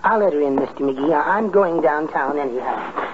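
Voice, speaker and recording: An actor playing a meek, timid, henpecked husband, hesitant and overly polite, in 1950s radio comedy style. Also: male voice, a little high, normal speed, natural voice. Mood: mild, helpful. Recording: tinny, noisy old radio recording.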